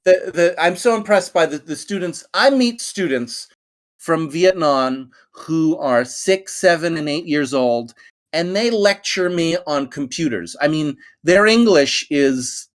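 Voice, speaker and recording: A man's voice: a little high, expressive, a little slow. Middle-aged New York man, English teacher hosting a voice-chat class; friendly, meandering, lots of ums and restarts. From United States. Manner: friendly, rambling with many fillers and restarts. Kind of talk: hosting an online conversation class. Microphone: online voice chat.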